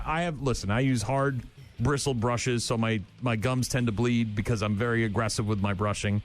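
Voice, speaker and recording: A middle-aged male morning radio host with a mid-range voice, rambling through stories in a blunt, wisecracking way. Faint music plays under him in the studio, and he gets animated when he's riled up. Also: mid-range voice. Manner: blunt, joking, animated storyteller. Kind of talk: casual on-air banter.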